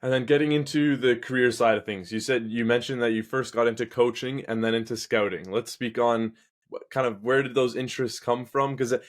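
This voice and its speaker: A man hosting a podcast, asking rambling, relaxed interview questions. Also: medium pitch, a little fast. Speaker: podcast host.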